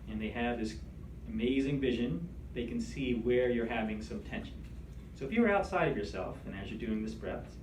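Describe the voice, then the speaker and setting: A man leading a meditation class, speaking in a gentle, soothing, conversational way. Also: medium pitch. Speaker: meditation instructor. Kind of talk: guided meditation instruction. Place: room with attendees seated in chairs. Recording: echoey room, distant mic, background noise.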